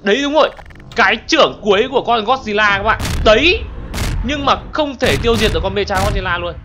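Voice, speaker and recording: A young man commentating a monster battle video game for his channel, excitable and constantly talking to his viewers. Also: sits high, fairly quick, music in the background. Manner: excitable, exclaims often, addresses viewers constantly. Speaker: gaming channel host. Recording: game battle noise behind voice. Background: explosions and gunfire from game.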